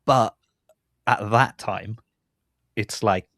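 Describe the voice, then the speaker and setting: A man's voice: on the low side, extremely slow, gravelly, fairly bright tone, no room sound, clean studio sound. A man hosting a podcast in a casual, conversational interview style. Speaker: podcast host.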